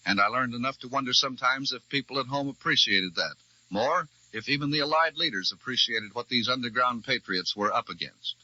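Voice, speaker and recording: Old 1940s radio news guy, american, really sharp forceful voice, dull vintage recording, formal. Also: mid-range voice, fairly quick, no echo. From United States. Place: radio newsroom.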